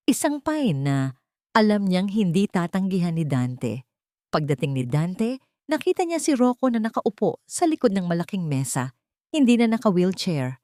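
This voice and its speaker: A woman's voice: medium pitch, mellow, no echo, clean studio sound. A woman with a Filipino accent reading a scripted dramatic story as an audiobook narrator.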